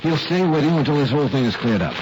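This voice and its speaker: A man's voice: a little high, lively intonation, quick pace, lightly acted. Man acting a firm American radio private eye. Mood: stern, urgent. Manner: insistent, commanding, persuasive. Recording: noisy, muffled vintage radio recording.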